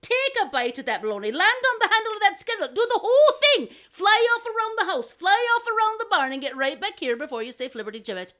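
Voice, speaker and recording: A woman's voice: very high voice, sing-song, fast, few pauses, sharp tone, voice pushed up, heavily acted. A woman voicing a doubting, folksy crow in a told tale, daring a rival to prove himself. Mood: scoffing, daring. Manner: challenging, folksy. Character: scoffing, daring crow goading a boastful rival.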